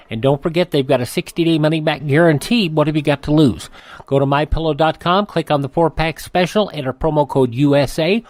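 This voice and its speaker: A man's voice: a little high, darkish tone, no echo. An older man hosting a radio show, reading ads in a chatty, rambling, conversational way. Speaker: radio host. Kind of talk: casual ad read.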